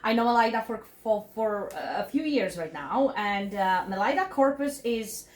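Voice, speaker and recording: A woman's voice: mid-range voice, lively intonation, normal speed, echoey room. A middle-aged woman interviewing a friend on a living room sofa, speaking hesitantly with frequent fillers and tag questions like "right?". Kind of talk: casual interview. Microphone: stand mic between speakers.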